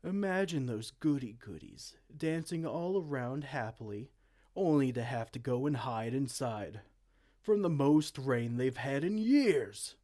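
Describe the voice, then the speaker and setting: A man's voice: medium pitch, expressive, measured pace, warm tone. A man playing a dorky, petulant evil king in a comedic fantasy roleplay, pompous, theatrical and exasperated. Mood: gloating. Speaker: amateur roleplay audio performer.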